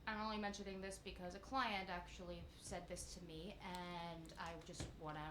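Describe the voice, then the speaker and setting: A woman in her thirties with a high-pitched, youthful-sounding voice, chatting playfully and self-deprecatingly as a shop manager co-hosting a live stream. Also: flat delivery, normal speed, a bit bright. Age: 30s. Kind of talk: casual live-stream chat. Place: in a print shop, off camera. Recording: echoey room with faint ambient noise.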